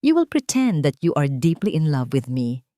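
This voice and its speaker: A woman's voice: low-pitched, big pitch swings, normal speed, dark tone, dry recording. A woman voicing a young billionaire CEO in a romance audio story, blunt, businesslike and controlled. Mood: cold, firm. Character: commanding, cool young male billionaire CEO.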